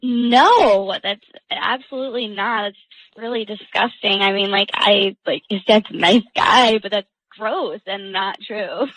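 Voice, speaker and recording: Young female call participant, gravelly fry, close dry sound, slangy and frank. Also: mid-range voice, a little slow, a bit bright, dry recording, poor audio. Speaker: person phoned by a radio show. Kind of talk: casual phone conversation.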